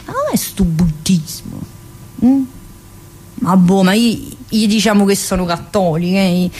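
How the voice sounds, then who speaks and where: A chatty, warm-hearted middle-aged prisoner, as an actress plays her on stage. She talks to her listener in a meandering way, full of little "eh"s, in a voice of ordinary middle pitch. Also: lightly acted. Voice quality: chatty and meandering, studded with "eh" and direct address. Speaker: stage actor in a solo play. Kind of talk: theatrical monologue.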